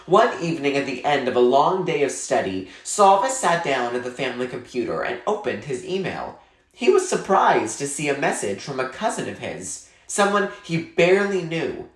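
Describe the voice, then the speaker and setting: Man, read-aloud narrator, medium pitch, animated intonation, measured storytelling, echoey room. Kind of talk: expressive children's novel read aloud.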